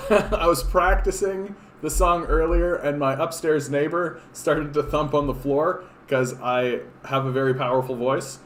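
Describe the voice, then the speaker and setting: A man's voice: a little high, unhurried, slight background noise. Rambling sarcastic man in an echoey room. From Canada. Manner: rambling, frequent fillers and restarts, sarcastic. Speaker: political livestream host. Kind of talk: casual live-stream chat reading comments. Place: home room.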